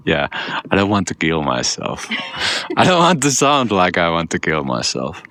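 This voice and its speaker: A Finnish man with a monotone voice, chatting casually in a dry, self-deprecating way on his vlog, laughing often. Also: deep voice, a little slow, a little hiss or hum. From Finland. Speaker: vlogger. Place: outdoors on a boat.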